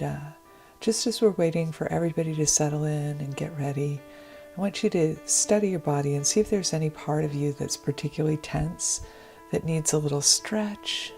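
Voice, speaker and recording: A woman with a low voice guides a meditation slowly and gently. Her delivery is soft and breathy, often close to whispering, with clearly audible music underneath. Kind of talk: guided meditation, slow soothing narration.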